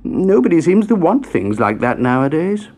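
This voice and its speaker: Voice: medium pitch, sing-song, moderate pace, a little mellow, pitched above the performer's own voice. A narrator voicing a meek, henpecked male shop owner in a children's storybook, hesitant and murmuring. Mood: resigned.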